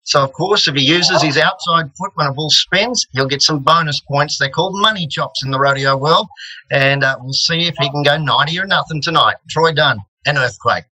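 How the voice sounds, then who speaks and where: A man's voice: a little high, brisk, pushed and bright, poor audio. An Australian man, a rodeo announcer, chatting on a video call and calling a ride as an easygoing commentator would. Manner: easygoing, sets the scene like a commentator. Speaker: rodeo announcer and radio presenter.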